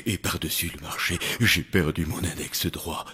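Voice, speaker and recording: An actor playing a hapless zombie servant in a children's cartoon. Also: male voice, low voice, steady tone, moderate pace, pushed and bright, husky, full character voice. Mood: hapless, puzzled. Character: bumbling male zombie hotel servant, slow and hapless. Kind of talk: French cartoon dub acting.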